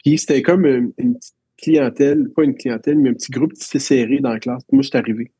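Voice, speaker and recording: A man in his thirties with a Quebec French accent tells stories from his school years in a relaxed, reflective way, often circling back and restarting his sentences. His voice is mellow and slightly husky. It sounds close and dry, with no room echo. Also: medium pitch, fast. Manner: reflective, storytelling with many restarts. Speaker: teacher and interview guest. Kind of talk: casual interview conversation.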